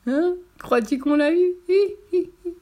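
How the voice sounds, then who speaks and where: A woman's voice: sits high, lively intonation, a little fast, darkish tone, voice pushed up. A woman voicing a female talking potato in a children's story, sly, naive and contrary, with exclamations and questions. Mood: giggling mockery.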